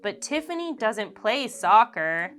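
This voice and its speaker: A woman voicing a cheerful, helpful young schoolgirl in a storybook read-aloud, speaking in a bright, encouraging way. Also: mid-range voice, big pitch swings, slow pace, soft background music.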